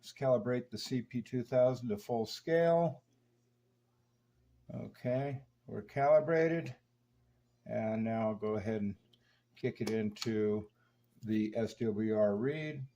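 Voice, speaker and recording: A man's voice: mid-range voice, slow pace, pauses often, mellow, highs cut off, slight background noise. A man giving an unscripted, hands-on technical demonstration of equipment, explaining each step methodically. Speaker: radio restorer demonstrating equipment.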